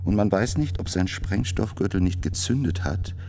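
A man's voice: deep voice, lively intonation, normal speed, dark tone, soft background music. A man playing a second narrator in a documentary radio play, reading out profiles in a matter-of-fact way. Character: factual male reader of defendants' profiles.

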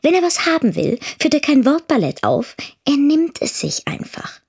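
A woman's voice: high voice, big pitch swings, normal speed, a little mellow. A woman playing a headstrong, mocking teenage daughter in an audio drama. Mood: disdainful, musing. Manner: mocking, dismissive. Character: disdainful, headstrong teenage daughter just out of school. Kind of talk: dramatic monologue in a German audio play.

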